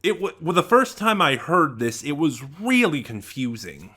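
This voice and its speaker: A man giving an informal spoken review, thinking aloud in a halting, emphatic way. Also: a little high, very animated, bright, forceful tone. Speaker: review channel host.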